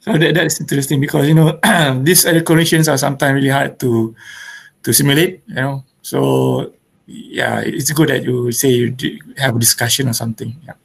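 A man's voice: medium pitch, fairly even intonation, a little fast, vocal fry, breathy edge. A man casually hosting an online meetup over a video call. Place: indoors at home on a video call. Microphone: video call microphone.

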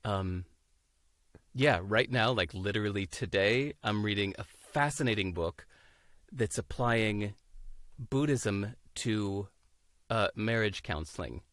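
Guest, fifties, American man, lowish voice, muses aloud on a dry video call. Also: takes it slowly, a bit bright, no room sound. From United States. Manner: thoughtful, searching for words, laughs easily. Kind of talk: casual interview chat. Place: home room, indoors.

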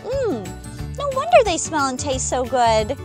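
A woman in her thirties hosting a children's story time, reading and chatting with expressive, exaggerated enthusiasm. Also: high voice, unhurried, music in the background.

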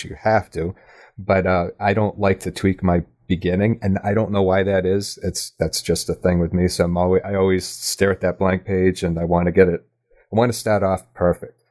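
Deep-voiced man, a reflective podcast guest. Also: darkish tone. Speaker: podcast guest, audio drama writer. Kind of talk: casual, reflective interview chat.

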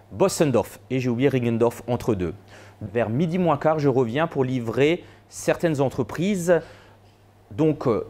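A man's voice: mid-range voice, a little mellow. French restaurant owner listing his menu. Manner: listing menu items and phone details plainly. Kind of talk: local TV interview. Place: outdoors in front of a restaurant.

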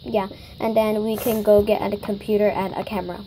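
A woman's voice: mid-range voice, level tone, brisk, dark tone, a little hiss or hum, low-quality recording. A girl improvising a toy shopping scene, chatty and bossy as she runs the story. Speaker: toy video maker. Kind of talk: improvised toy play-acting.

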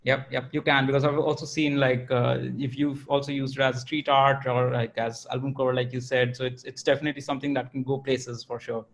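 A man's voice: medium pitch, brisk, few pauses, rough recording. A man interviewing an artist over a video call from an indoor room, asking questions with many uhs. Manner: filler-heavy, even questioning.

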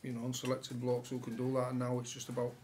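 A man, a rugby coach answering questions at a post-match press conference with relaxed, halting answers full of fillers. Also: medium pitch, a little hiss or hum. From England. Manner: relaxed, many fillers and restarts. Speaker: rugby league head coach. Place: press room with sponsor board behind.

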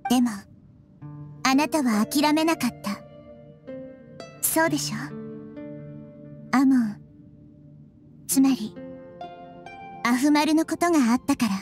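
A gentle little girl deity speaks in a high, light voice for a video game. She stays soft and composed, calm and kind in her reasoning. Faint music plays underneath. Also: takes it slowly, long pauses.

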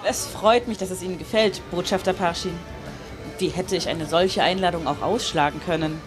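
A weary young concert pianist, played by a woman in a live radio play. She speaks courteously and formally in a rather low voice, with faint music behind. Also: normal speed, lightly acted.

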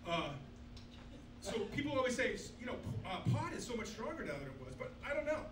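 A man's voice: very high-pitched, very animated, a little fast. Crude sixty-year-old man doing stand-up. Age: 60s. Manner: crude, self-deprecating punchlines. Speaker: stand-up comedian. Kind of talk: stand-up comedy set. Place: small club stage. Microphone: handheld mic, filmed from far back. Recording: echoey club, distant camera, noisy.

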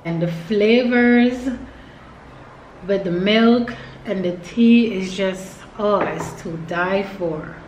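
A woman's voice: medium pitch, takes it slowly, darkish tone, echoey room, slight background noise, low-quality recording. A young woman vlogger chatting casually and informally to her viewers. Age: young adult. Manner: informal, addressing viewers as y'all. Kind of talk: casual vlog chat to camera.

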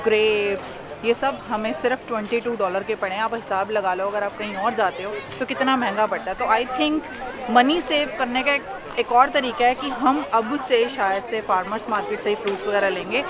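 A woman's voice: a little high, fairly even intonation, moderate pace. A young woman vlogging at an outdoor farmers market, chatting with viewers and mixing in English as she holds the camera at arm's length. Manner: chatty, addresses viewers as "bhai", mixes English in. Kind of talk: casual vlog chat. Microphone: handheld camera at arm's length. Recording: loud music and crowd around.

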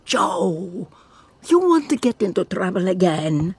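An actress playing an older immigrant mother, slow and pleading, in a game cutscene. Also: lowish voice, very animated, breathy edge, dry recording, heavily acted.

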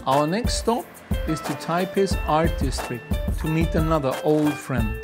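A man in his fifties with a German accent, talking calmly and reflectively about tea in a documentary. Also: on the high side, over loud music. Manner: calm, unhurried, reflective. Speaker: tea master. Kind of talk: documentary narration and on-location talk.

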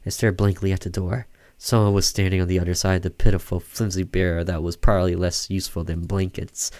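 A man's voice: low voice, a little mellow. A man reading a visual novel aloud as a gaming host, dramatic and theatrical, sometimes stumbling and rereading. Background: game music.

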